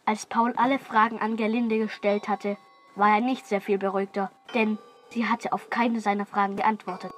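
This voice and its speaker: A woman's voice: mid-range voice, flat delivery, moderate pace. Female narrator over music. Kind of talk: scripted narration of a children's radio play.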